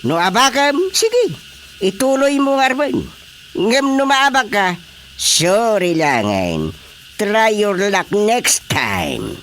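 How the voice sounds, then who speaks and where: A man playing a bossy, sly older village father in an Ilocano radio comedy, with emphatic, drawn-out delivery. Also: high-pitched, very animated, a bit bright, slight background noise, full character voice. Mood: bossy, challenging. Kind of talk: Filipino radio drama comedy acting.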